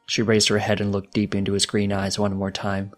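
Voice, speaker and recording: A man reading fiction aloud as a dramatic, solemn narrator with a deliberate delivery. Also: lowish voice, monotone, faint music behind. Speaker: fanfiction narrator.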